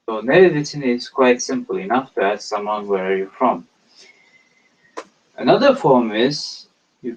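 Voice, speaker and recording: Slow, halting male language teacher. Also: lowish voice, slightly rough. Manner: hesitant, halting, with many pauses and fillers. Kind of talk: slow explanatory lecture. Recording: dull lo-fi recording.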